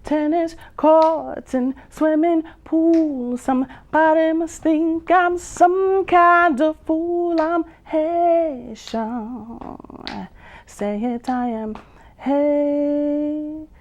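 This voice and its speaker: A woman poet delivering spoken-word verse very slowly, stretching phrases and humming between lines. Her voice sits high. The recording sounds dull and lo-fi. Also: darkish tone. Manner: slow, drawn-out delivery with long pauses and hums. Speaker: poet and vocalist guest. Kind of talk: slow spoken-word poetry with sung lines.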